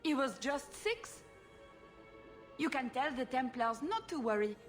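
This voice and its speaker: A woman's voice: sits high, very animated, unhurried, soft background music. A woman playing a fussy, gushing noblewoman and doting mother with a French accent in a video game. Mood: breezy. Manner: gushing, fluttery. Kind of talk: video game cutscene acting.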